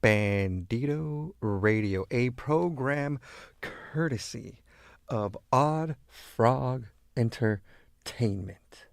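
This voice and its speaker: An American man from East Los Angeles hosting a laid-back radio show, talking to listeners in deliberate, halting phrases. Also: medium pitch, animated, vocal fry, no room sound, studio quality. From East Los Angeles, United States. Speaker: radio host.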